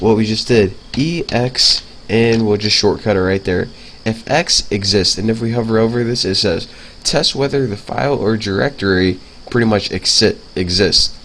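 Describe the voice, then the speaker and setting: A young man with a stuffy nose from a cold walks through a coding tutorial in a loose, chatty way, full of "like" and "um." His voice sits a bit low. Faint hiss and soft typing hang behind him. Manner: casual, filler-heavy, talks while typing. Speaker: programming tutor. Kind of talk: casual screencast coding lesson.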